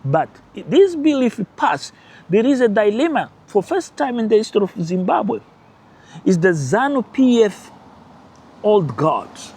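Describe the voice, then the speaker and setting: A man whose first language is Portuguese speaks English with a Portuguese-speaking accent, and his voice sits a little high for a man. He hosts his own channel in an animated, emphatic way and drops short laughs into his points. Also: measured pace, slightly rough. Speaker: channel host. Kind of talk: casual political commentary.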